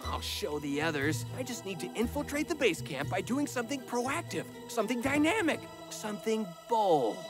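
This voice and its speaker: A woman voicing an eager, overconfident young boy adventurer in a cartoon, with an animated, rambling, cocky way of talking. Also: on the high side, normal speed, hardly any pauses, music in the background. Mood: defiant. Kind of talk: cartoon voice acting.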